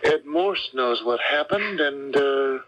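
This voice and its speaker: A man playing a courteous, bookish lawyer turned investigator in a 1970s radio mystery, speaking in a measured, polite way. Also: mid-range voice, lively intonation. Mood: measured, probing. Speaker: radio drama actor. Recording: dull 1970s AM radio recording.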